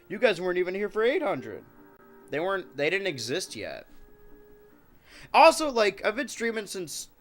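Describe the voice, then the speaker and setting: A man with a stuffed-up nose hosts a casual live stream, joking with chat and talking to his cats. His voice comes across bright and forward. Soft music plays faintly underneath. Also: mid-range voice.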